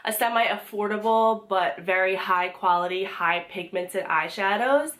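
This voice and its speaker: A young woman, slightly hoarse from a cold, chatting casually to camera as she reviews her monthly beauty favorites, with frequent ums and restarts. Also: mid-range voice, measured pace, a bit bright. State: hoarse from being sick. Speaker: beauty vlogger.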